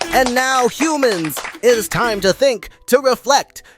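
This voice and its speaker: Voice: mid-range voice, lively intonation, measured pace, music in the background, lightly acted. An actor playing a genial puppet show host, making theatrical scripted introductions. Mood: genial, grand. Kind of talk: scripted comedy presenting.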